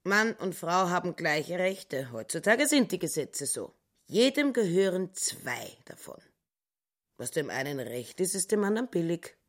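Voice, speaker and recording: An actress playing a petty, self-righteous housekeeper turned wife in a radio drama, indignant and insistent. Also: female voice, lowish voice, big pitch swings, a little slow. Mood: bossy.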